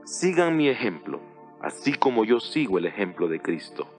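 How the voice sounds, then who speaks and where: A man's voice: on the low side, big pitch swings. A man playing the Apostle Paul as an earnest, solemn teacher exhorting his congregation in a dramatized audio Bible, with music behind him. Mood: earnest instruction. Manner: solemn, exhortative, deliberate phrasing.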